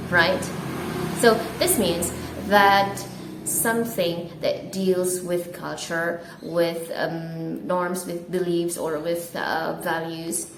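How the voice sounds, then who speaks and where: A woman who speaks natively, hosting an English-learning channel and explaining a lesson slowly and deliberately, with some filler words. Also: lowish voice. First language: Indonesian. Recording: echoey room with clear background noise.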